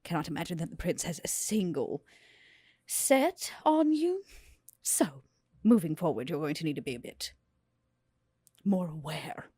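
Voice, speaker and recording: A woman playing her character in a tabletop game, haughty and measured. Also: deep voice, big pitch swings, warm tone, husky, no room sound, clean studio sound. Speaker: player. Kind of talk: in-character roleplay.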